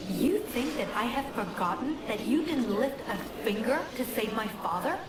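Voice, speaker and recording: A woman's voice: on the high side, expressive, moderate pace, heavy background noise, lightly acted. An actress playing a scornful, vengeful young woman in a game cutscene, mocking and biting. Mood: accusing. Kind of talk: dramatic game cutscene acting. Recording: echoey, distant, loud music behind.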